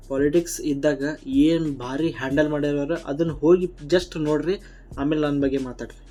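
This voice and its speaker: Mellow higher-voiced man, North Karnataka accent. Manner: blunt, colloquial, combative. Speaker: YouTube commentator. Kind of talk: opinionated reaction commentary.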